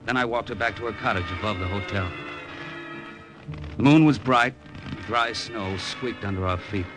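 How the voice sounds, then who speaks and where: An actor playing a cynical American gambler in a vintage radio drama, wry and slangy, with an American accent. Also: male voice, lowish voice, normal speed, over music, lightly acted, rough recording. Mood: hard-boiled narration. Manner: wry, slangy, hard-boiled. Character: cynical, broke American gambler abroad, middle-aged. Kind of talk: 1950s radio drama acting and noir narration.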